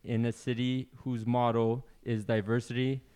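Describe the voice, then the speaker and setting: A man on a podcast reading an article aloud, careful and halting, with fillers. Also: mid-range voice, flat delivery, darkish tone, clean tone. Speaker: podcast co-host.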